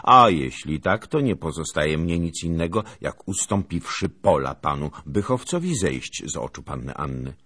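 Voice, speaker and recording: A man's voice: very deep voice, big pitch swings, moderate pace, hardly any pauses, fairly bright tone, no room sound, exaggerated voice. A man voicing a gallant young lieutenant of old, bantering with emphatic mock courtesy in a storybook reading. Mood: mock-offended. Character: gallant, teasing male cavalry lieutenant of old.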